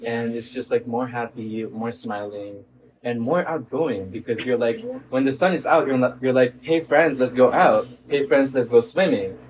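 A man's voice: mid-range voice, moderate pace, far from the mic, low-quality recording. Chatty young male podcast host. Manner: chatty, lots of "like", playful put-on quotes. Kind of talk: casual chat.

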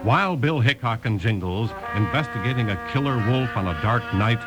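A man's voice: mid-range voice, lively intonation, moderate pace. A male announcer reading scripted narration in 1950s radio style, with music behind him. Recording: old radio with loud music. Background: loud music.

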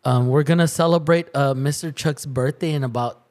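A man's voice: fairly high pitch, brisk, darkish tone. A man co-hosting a podcast, talking in loose, repetitive bilingual banter. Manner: rambling, repeats words, mixes in Spanish. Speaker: podcast co-host.

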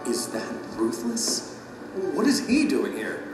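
A man's voice: mid-range voice, huge pitch swings, takes it slowly. Complacent self-deceived man, echoing hall. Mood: amazed. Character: self-deceived, complacent man awaiting judgment, awed and naive. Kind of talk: audio drama acting. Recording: very echoey hall, distant, loud music.

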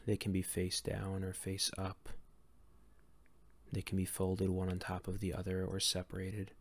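A man leading a guided meditation in a gentle, calm, unhurried way with long pauses between phrases. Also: low voice, gravelly, a little hiss or hum. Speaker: meditation guide and series host.

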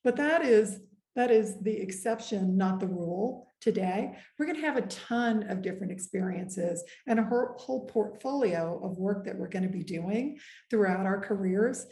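A middle-aged woman, a guest executive, reflecting earnestly and measuredly on her career in an interview, pausing often. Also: medium pitch, animated, warm tone. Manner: earnest, measured, with frequent pauses and "um". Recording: echoey room.

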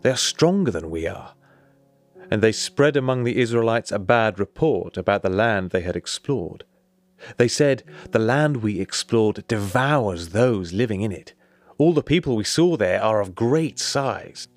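A man giving a scripted, solemn and measured reading from the Bible. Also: on the low side, lively intonation, dry recording. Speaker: scripture reader.

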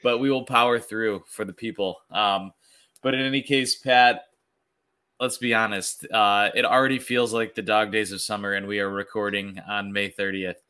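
A man hosting a casual sports podcast, his voice slightly hoarse, bantering with fillers. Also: fairly low pitch, fairly even intonation, normal speed, sharp tone. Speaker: podcast host.

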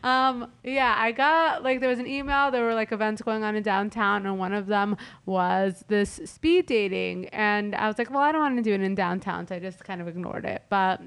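A woman's voice: medium pitch, moderate pace, hardly any pauses, fairly bright tone, smooth. A young woman from Los Angeles co-hosting a podcast, chatting in a bubbly way with lots of "like" fillers. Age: young adult. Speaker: podcast co-host. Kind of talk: casual podcast chat.

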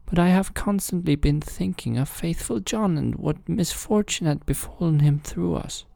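A man voicing a devout, loyal fairy-tale queen with a gentle, sincere manner. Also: fairly high pitch, moderate pace, vocal fry, dry recording, clean studio sound, voice pushed up.

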